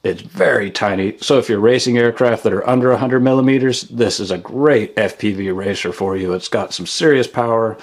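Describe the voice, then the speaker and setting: A man's voice: fairly low pitch, fairly quick, hardly any pauses. A man hosting a casual product review voice-over in a matter-of-fact way. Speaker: product reviewer and channel host.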